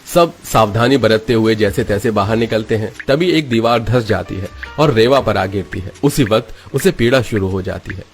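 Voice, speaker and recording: A man's voice: lowish voice, moderate pace, hardly any pauses, faint music behind. A man giving dramatic scripted narration of a story.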